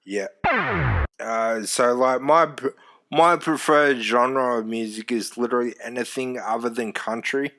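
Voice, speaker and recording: Deep-voiced man, casual. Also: a little slow, soft background music. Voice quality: deep loud voice. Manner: animated storyteller, swears casually. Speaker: former youth music event host. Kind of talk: casual podcast chat.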